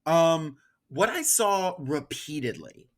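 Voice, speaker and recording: A man's voice: fairly high pitch, expressive, slow. A man chatting casually about politics as a podcast co-host, with a wry, ironic way of talking.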